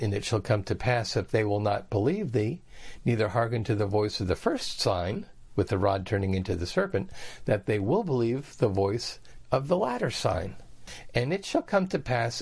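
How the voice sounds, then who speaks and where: Low-voiced man teaching from the Bible. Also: gravelly, darkish tone. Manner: reads scripture aloud, then explains it in plain words. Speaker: preacher.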